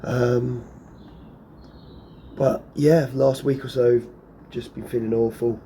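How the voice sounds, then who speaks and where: A hoarse man talks casually to camera outdoors, his voice worn and close to giving out. He rambles, trailing off and restarting with plenty of fillers. His tone stays flat and even, with a dark, mellow timbre. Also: mid-range voice, slow, slight background noise. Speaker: lawn care vlogger. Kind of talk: casual vlog talk to camera.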